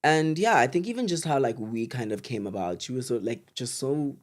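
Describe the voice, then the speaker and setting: A man's voice: medium pitch, expressive, a little fast. A man chatting casually as a podcast co-host, effusive and slangy, often repeating words for emphasis.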